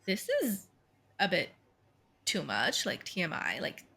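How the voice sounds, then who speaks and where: A woman's voice: lowish voice, big pitch swings, a little slow, creaky, pushed and bright, slightly rough. A woman in her twenties from Taiwan with a North American accent, chatting casually as a podcast co-host, full of fillers and quoted asides. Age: 20s. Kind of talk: casual chat.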